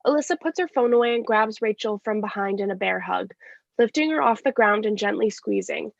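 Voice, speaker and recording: A woman's voice: mid-range voice, fairly quick, creaky, no echo. A woman reading scripted stage directions in a live staged reading of a play. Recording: dull lo-fi sound.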